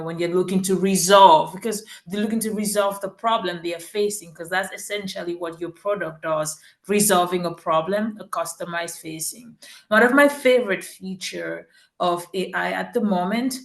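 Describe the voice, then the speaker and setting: A woman's voice: on the low side, gravelly, a bit bright, roomy sound. A woman from Cameroon, a marketing executive answering interview questions earnestly, often rephrasing herself mid-sentence.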